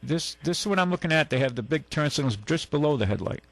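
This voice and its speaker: A man's voice: a little high, expressive, fast, no room sound. A man hosting a radio car show, chatting casually about old cars in a rambling way with plenty of fillers and restarts. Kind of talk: casual on-air car chat. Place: radio studio.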